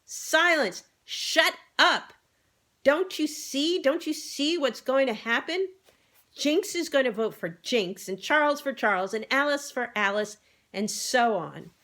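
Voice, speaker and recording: An excitable male pig from a storybook , voiced by a woman reader, pitched up and insistent. He speaks in emphatic, persuasive bursts, like an orator set on taking charge. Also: measured pace, heavily acted. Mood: exasperated. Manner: emphatic, exclamatory, persuasive speechmaking. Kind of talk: animal storybook read-aloud.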